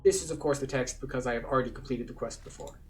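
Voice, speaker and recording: A man's voice: medium pitch, fast talker, slight background noise. Man casually narrating a game, slightly distant mic. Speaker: video game tour guide and player. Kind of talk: casual gameplay commentary.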